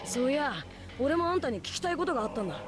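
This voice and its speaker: An actor voicing a brash, earnest young male ninja hero in an anime game, with rough, boyish, informal speech. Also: sits high, lively intonation, racing. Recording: game dialogue over music.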